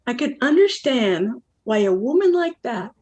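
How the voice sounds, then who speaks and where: A woman's voice: a little high, sing-song, measured pace, darkish tone. An actress playing a weary, worldly wife and mother in a stage play, wistful yet blunt. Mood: bitter, reflective. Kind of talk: dramatic monologue in a stage play.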